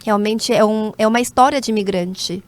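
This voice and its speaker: Korean-born woman in her forties, lawyer, interview guest, measured and hesitant delivery. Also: medium pitch, a little mellow. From South Korea. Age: 40s. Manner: measured, with frequent hesitation fillers. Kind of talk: conversational interview.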